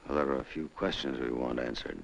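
An American actor, middle-aged, playing a stern, urgent government investigator with an earnest, clipped manner in an old film. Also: male voice, very deep voice, steady tone, fast, gravelly. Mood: stern, controlled. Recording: old film soundtrack with hiss.

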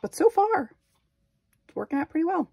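A woman's voice: very high voice, very animated, moderate pace, creaky, mellow, no echo, clean studio sound. A woman narrating her glass craft work at a home workbench, thinking aloud and unsure of each step. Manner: thinking aloud, self-doubting. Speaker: glass artist. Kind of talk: casual craft vlog narration.

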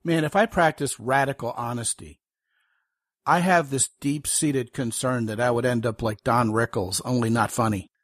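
A man's voice: medium pitch, fairly even intonation, moderate pace, fairly bright tone, no room sound, studio quality. A man hosting a podcast, telling stories and joking in a casual interview chat. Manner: chatty, storytelling, joking. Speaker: podcast host.

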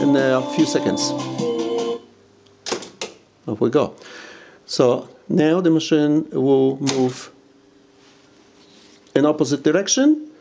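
A man giving an informal hands-on demonstration, hesitant and searching for words. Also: a little high. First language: not English. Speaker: video presenter demonstrating audio gear. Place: indoors at home, by a tape deck. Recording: dull lo-fi home recording.

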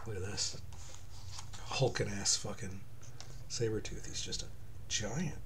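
A man's voice: on the low side, measured pace, a bit bright. A man hosting a comic book review in casual, profanity-laced chat. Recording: clear background noise.

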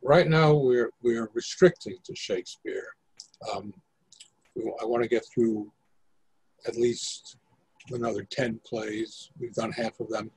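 An older man, a playwright explaining his work in halting, precise, measured phrases on a video call. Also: medium pitch, darkish tone, husky, rough recording. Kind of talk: considered explanation in discussion.

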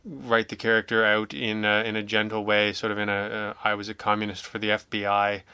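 Male podcast guest, rambling and opinionated, bright crisp voice for casual interview talk. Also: fairly low pitch, little pitch movement, a little fast, no echo. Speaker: podcast guest, audio drama writer and producer.

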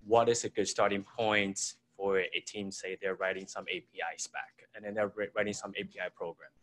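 A man in an audience asking a spontaneous question in halting, repetitive phrasing. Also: on the low side, flat delivery, fairly bright tone.

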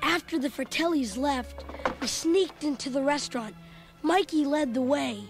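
Excitable little kid telling a read-along story, really high voice, dramatic and earnest. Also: normal speed, over music. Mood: eager storytelling. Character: Chunk, excitable chubby young boy narrator.

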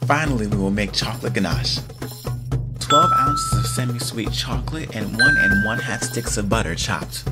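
A man, host of a home cooking show, reading scripted step-by-step recipe narration in a steady instructional way. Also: medium pitch, normal speed. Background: loud music.

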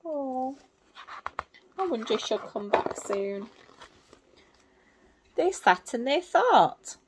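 A woman in her thirties tells a story to young children in a high, very animated voice. She uses simple, expressive phrasing and explains the pictures as she goes, taking her time. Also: takes it slowly, heavy background noise. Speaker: teacher reading a picture book to young children. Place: small indoor room at home.

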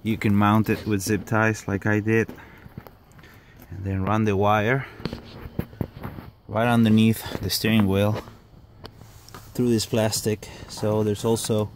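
A man with a somewhat low, monotone voice talks through a hands-on car tutorial. He gives terse, informal instructions one step at a time. The handheld recording, made around a parked vehicle, carries clear background noise. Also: slow pace, pauses often. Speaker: DIY car owner giving a how-to. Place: in and beside a parked car, outdoors. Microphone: handheld camera or phone mic.

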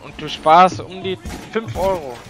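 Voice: medium pitch, measured pace. A player chatting casually and trash-talking during an online video game. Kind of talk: casual gaming chat with trash talk.

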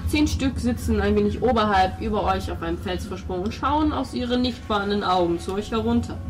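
A woman's voice: medium pitch, brisk, distant mic, background noise. A woman narrating a tabletop role-playing game as game master, describing scenes to players.

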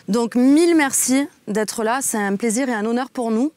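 A woman's voice: a little high, moderate pace, darkish tone, dry recording. A woman in her thirties, a studio interviewer asking questions from her notes in a friendly way. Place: dark television studio.

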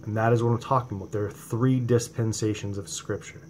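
A man in his forties with a New England accent, preaching informally to his viewers from home and talking into a webcam, swinging from impassioned, rising emphasis to a quieter conversational tone. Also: on the low side, steady tone, fairly quick, slight background noise. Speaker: religious video host. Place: home room indoors at night. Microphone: webcam or laptop camera from below.

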